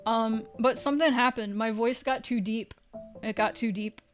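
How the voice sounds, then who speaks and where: A woman's voice: on the high side, fairly even intonation, normal speed, faint music behind. A woman livestreaming, chatting casually with viewers in a playful, teasing way and swearing now and then.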